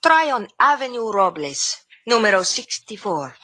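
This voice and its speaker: A woman's voice: on the high side, big pitch swings, normal speed, sharp tone, no room sound, full character voice. An actress playing a fiery, proud and dangerous cafe woman with a Spanish accent, in old radio drama style. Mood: defiant, suspicious. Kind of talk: 1950s radio drama acting. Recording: faintly noisy old radio recording.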